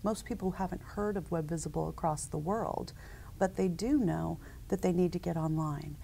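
Low, gravelly businesswoman in interview. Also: mellow, slight background noise. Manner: measured, businesslike, with frequent "uh" and "um". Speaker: company founder, interview guest. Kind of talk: conversational interview answers. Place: conference interview set, seated in armchair.

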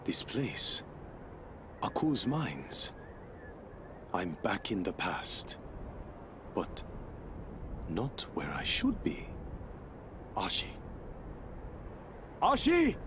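An actor playing a stoic, earnest samurai warrior in an animated game, with a solemn and dramatic delivery. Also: male voice, on the low side, very slow, long pauses, noisy background, full character voice. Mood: alarmed, surprised. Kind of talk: animated video game acting.

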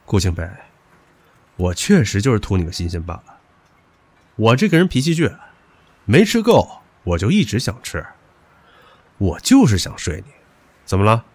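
A man's voice: fairly low pitch, no echo. A man playing a stubborn, possessive young suitor in a modern audio drama, talking in a cocky, crude, pushy way. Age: young adult.

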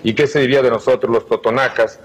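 A man's voice: mid-range voice, steady tone, fast, breathy edge. An older man hosting a TV interview. He asks probing questions and often interjects. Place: indoor art gallery room, seated.

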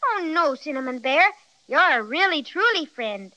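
Prim little girl, very high bright voice, earnest, bossy, vintage radio drama. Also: very animated, normal speed, exaggerated voice, low-quality recording. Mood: thoughtful, kind. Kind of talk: 1930s children's radio serial acting.

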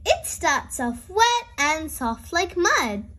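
A woman plays a cheerful daddy pig from a children's storybook, eager to teach. The voice is high and slow, with lively pitch swings and an encouraging, instructive manner. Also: slight background noise, full character voice. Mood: cheerful, explaining. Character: cheerful adult male pig father, keen teacher.

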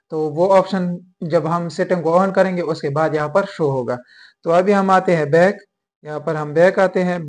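Young adult male, high voice, for presenting step-by-step phone how-to videos. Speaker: tech tutorial host. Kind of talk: step-by-step phone tutorial to viewers. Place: small indoor room at home.

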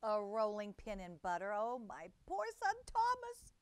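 A woman's voice: medium pitch, wildly swooping pitch, a little slow, no echo. A woman voicing a tearful, fretful mother cat who wails about her lost kitten. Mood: tearful, fretting. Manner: wailing, fussing.

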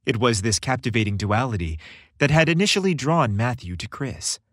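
Man, podcast host, lively intonation, scripted story narration, playful and theatrical, very dry recording. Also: mid-range voice, a little fast, no echo.

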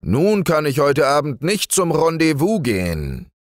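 A man voicing a vain, fussy male bear in a storybook reading, raising his voice high and making it whiny. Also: wildly swooping pitch, measured pace, no echo, clean studio sound.

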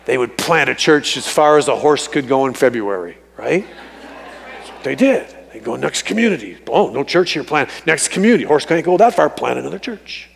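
A man in his fifties preaching a sermon to a congregation in an animated way, repeating phrases for emphasis. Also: medium pitch, moderate pace, gravelly, breathy edge. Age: fifties, fifty-four. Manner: animated, emphatic repetition for effect. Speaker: guest preacher. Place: large church sanctuary, on stage before congregation. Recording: reverberant church hall.

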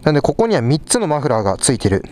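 A man's voice: a little high, sing-song, rapid-fire, darkish tone. A young man in a small rehearsal studio, casually explaining his instruments while he plays them. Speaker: vlogger. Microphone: small clip mic on a head-mounted camera. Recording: live drums and guitar behind voice.

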